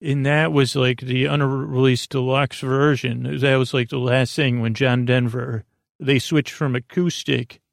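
Guy w really creaky gravelly voice, rambly goofy sleep podcast host, mumbles and loses his thread, super dry close sound. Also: medium pitch, fairly even intonation, unhurried, dry recording. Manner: meandering, hesitant, mumbling, trailing off mid-thought; silly, goofy. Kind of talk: rambling bedtime podcast monologue.

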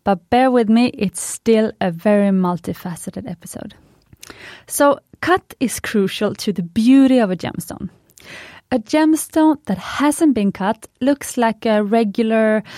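A woman's voice: mid-range voice, warm tone, dry recording. Woman, Swedish native speaker, podcast host, enthusiastic, punny, dry close sound. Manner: chatty, enthusiastic, fond of puns. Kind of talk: scripted podcast monologue.

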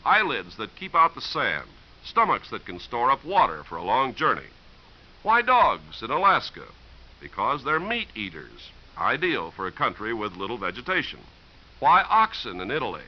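A man's voice: mid-range voice, huge pitch swings, normal speed. A man with an American accent reading an explanatory script as a 1950s radio announcer, posing and answering his own questions. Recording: dull 1950s radio recording.

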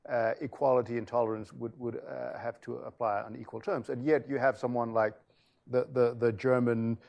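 A man with a gravelly edge to his voice answers on a discussion panel. He is an author, earnest and analytical, and he often pauses and restarts as he builds his argument. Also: medium pitch, little pitch movement, darkish tone. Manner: earnest, analytical, searching for words.

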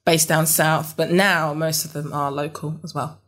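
Woman, London accent, low pitch, hesitant, conversational interview guest. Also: level tone, moderate pace. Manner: hesitant, many ums and restarts. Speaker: interview guest, business network founder.

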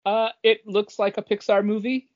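A man's voice: sits high, little pitch movement, normal speed, muffled recording. A man talking casually as one of the hosts of a podcast. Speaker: podcast co-host. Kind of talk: casual panel chat.

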